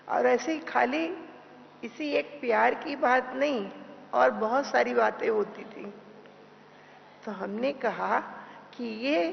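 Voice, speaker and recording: A woman giving a devotional class as a spiritual teacher, speaking deliberately with pauses and repeated phrases, in a dull, noisy hall recording. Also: fairly high pitch, vocal fry.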